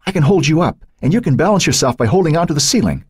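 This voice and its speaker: A man's voice: on the high side, expressive, racing, husky, no echo, studio quality, pitched above the performer's own voice. Man voicing a determined young boy. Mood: coaxing. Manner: urging, insistent.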